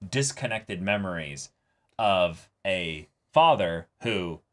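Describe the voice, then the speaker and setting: A man co-hosting a reaction show, talking casually and excitedly in an animated, rambling way and acting out imagined dialogue. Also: deep voice, takes it slowly. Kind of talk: casual excited chat about an anime episode.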